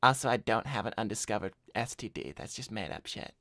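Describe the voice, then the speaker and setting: A man's voice: on the low side, a little fast, a bit bright, no room sound, studio quality. A man voicing a cocky, broke hedgehog slacker in an improvised cartoon livestream, casual, blunt and self-assured. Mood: matter-of-fact. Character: cocky, broke male hedgehog slacker giving shady advice. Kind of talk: improvised in-character livestream chat.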